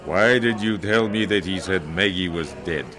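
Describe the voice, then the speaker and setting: An actor playing a blunt, threatening homeless man who speaks halting, formal English. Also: deep voice, a crowd in the background, natural voice. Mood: menacing. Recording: loud background noise.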